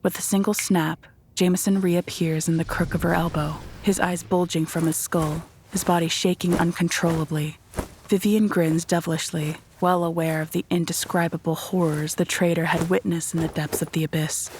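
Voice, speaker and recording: A woman narrates a horror audio drama from a script. Her voice is fairly low and stays very even while telling dramatic scenes, over faint background noise. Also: normal speed.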